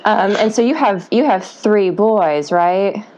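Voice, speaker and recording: A woman's voice: medium pitch, slow pace, darkish tone, highs cut off. A woman hosting a podcast interview, asking questions in a friendly, hesitant way with many ums and affirming sounds. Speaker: podcast host.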